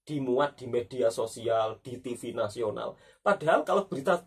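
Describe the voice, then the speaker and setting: A man's voice: mid-range voice, animated, moderate pace, slightly rough, recorded from a distance. A man gives conversational commentary as a host, emphatically repeating his points and often adding tag questions. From Indonesia. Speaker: host of a reaction talk.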